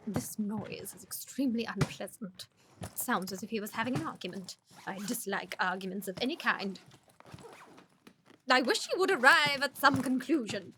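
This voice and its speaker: A woman's voice: on the high side, expressive, moderate pace, noisy background, close to an everyday voice. A woman playing an imperious, disapproving older aristocratic aunt in a period radio comedy, with a pompous, commanding manner. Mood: irritated, disdainful.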